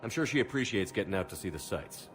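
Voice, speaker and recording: Deep-voiced, quick, blunt commander. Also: male voice, animated, fairly bright tone. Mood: matter-of-fact. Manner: blunt, dry, assured. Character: tough, blunt male military commander hero. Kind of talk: video game voice acting. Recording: music under the dialogue.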